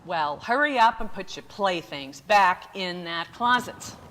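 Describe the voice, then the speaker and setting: A woman's voice: on the low side, expressive, a little slow, fairly bright tone. Woman playing a long-suffering radio wife, echoing hall. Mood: exasperated. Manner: scolding, dryly patient. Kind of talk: 1940s radio comedy acting. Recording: roomy hall reverb, noisy background.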